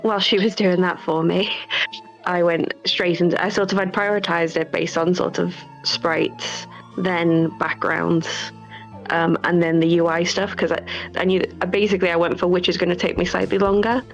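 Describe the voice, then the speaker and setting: A woman with a lowish, noticeably creaky voice speaks through dull, lo-fi audio with faint music behind. She explains her work casually and modestly, like a guest developer in an interview. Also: level tone, normal speed. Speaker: game developer and artist being interviewed.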